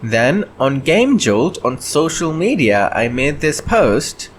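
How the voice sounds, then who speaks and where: Slow-paced man thinking aloud, faint background noise. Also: on the high side, very animated. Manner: halting, often clearing throat. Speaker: indie game developer hosting a devlog. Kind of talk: casual chat, thinking aloud while working.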